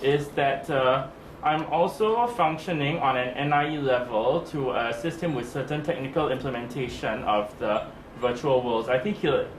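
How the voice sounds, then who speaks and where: A man's voice: medium pitch, normal speed. A man explaining his role on a project team in a hesitant, casual way with many fillers. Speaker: team member in charge of the design of digital objects. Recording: echoey room with noise.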